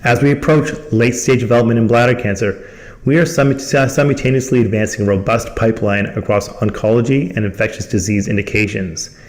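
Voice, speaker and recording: A man's voice: mid-range voice, fairly even intonation, fairly quick, a little mellow. Formal man reading a corporate presentation. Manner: formal, reading from prepared text. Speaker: company presenter and call host.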